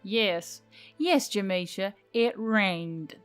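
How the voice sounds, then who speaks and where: A woman voicing a feisty, irritable young woman biker with a loud, sarcastic, snappy delivery. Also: medium pitch, extremely slow, smooth, faint music behind. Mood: irritated, defensive.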